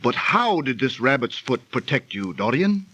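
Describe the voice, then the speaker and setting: An actor playing a solemn, earnest ancient Greek priest in declamatory 1930s radio drama style. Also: male voice, mid-range voice, sing-song, normal speed, bright, forceful tone, a little hiss or hum. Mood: concerned. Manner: declamatory, archaic speech. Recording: muffled 1930s radio recording.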